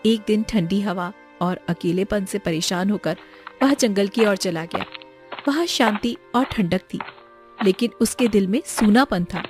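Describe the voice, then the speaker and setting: A woman's voice: medium pitch, measured pace, darkish tone. A woman reading a scripted moral story for children as its narrator. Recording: music behind the voice. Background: background music.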